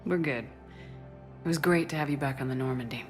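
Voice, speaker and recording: An actress playing a steady, supportive military commander in a video game, speaking in a direct, plain-spoken way. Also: female voice, very deep voice, normal speed, soft background music. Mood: sincere. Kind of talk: video game cutscene dialogue.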